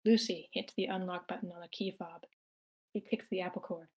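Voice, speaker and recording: A woman's voice: on the low side, fairly even intonation, brisk, darkish tone, slightly rough. A woman narrating screenplay action lines aloud at an amateur table read, in a plain manner with occasional stumbles. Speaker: stage-direction narrator.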